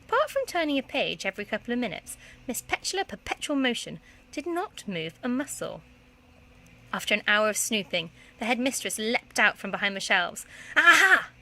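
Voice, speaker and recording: A woman's voice: on the high side, big pitch swings, fairly quick, pushed and bright. A woman, a primary school teacher, reading a children's story aloud expressively.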